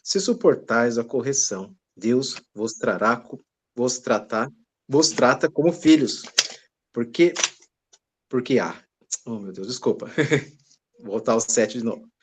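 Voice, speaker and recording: A man's voice: mid-range voice, expressive, unhurried, pauses often, a little hiss or hum. A man taking part in an online church study, reading a Bible passage aloud, stumbling and restarting words and apologizing for it, from a distance on a video call. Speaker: study participant reading scripture.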